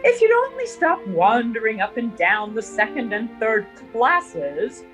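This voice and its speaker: An actress playing a snobbish, scolding older aunt in a prim, fretful manner, in 1930s radio drama style. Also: female voice, fairly high pitch, big pitch swings, unhurried, a bit bright, low-quality recording. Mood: haughty, fussy. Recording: 1930s radio recording with music.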